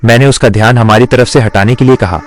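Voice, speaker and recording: A man's voice: mid-range voice, fairly even intonation, fast. A man narrating a scripted horror story in the first person.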